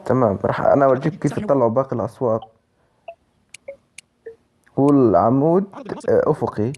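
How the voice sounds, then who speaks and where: A man's voice: medium pitch, lively intonation, fast, background noise. A man with a Levantine Arabic accent giving a casual spoken app walkthrough, repeating instructions step by step. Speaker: tutorial presenter.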